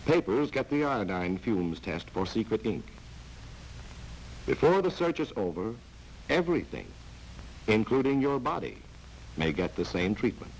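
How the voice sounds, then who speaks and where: A man's voice: lowish voice, sing-song, a little slow, long pauses, rough recording. A man delivering stern, sardonic scripted narration for a 1940s training film, addressing the listener directly. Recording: hissy old film soundtrack.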